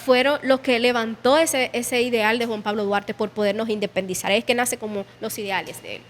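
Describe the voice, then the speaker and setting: A woman's voice: a little high, steady tone, a little fast, long reverb tail, a little hiss or hum. A woman guiding a museum tour, explaining history and quoting dialogue. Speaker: museum guide. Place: indoor exhibition hall.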